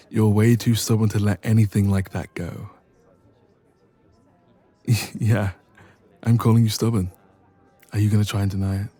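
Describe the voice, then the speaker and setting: A man's voice: lowish voice, warm tone, husky. A man playing a bashful, evasive best friend with a secret crush in an intimate romance audio roleplay. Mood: teasing. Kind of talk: romance ASMR roleplay, intimate one-sided conversation.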